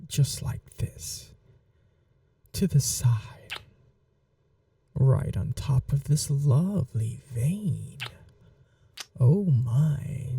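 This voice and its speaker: Woman to play seductive vampire noblewoman for ASMR roleplay: very dark, mellow, creaky voice, soft whispering, possessive and teasing. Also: low voice, recorded from a distance, a little hiss or hum, full character voice. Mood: hungry, teasing. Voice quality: soft, breathy, often whispered. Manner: slow, languid, teasing and coaxing.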